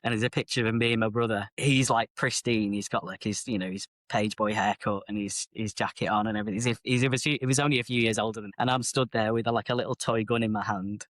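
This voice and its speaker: A middle-aged man speaks openly and reflectively about his life as a podcast guest. His voice sits on the low side, and the recording is dry and close. He circles back and restarts phrases as he talks. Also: steady tone, fast, hardly any pauses, a bit bright, dry recording. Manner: open, reflective, chatty, self-correcting. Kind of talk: candid personal storytelling.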